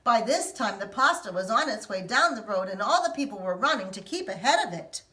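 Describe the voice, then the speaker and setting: A woman teacher reading a picture book aloud to children in an expressive storytelling manner. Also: medium pitch, brisk. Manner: expressive, sing-song storytelling.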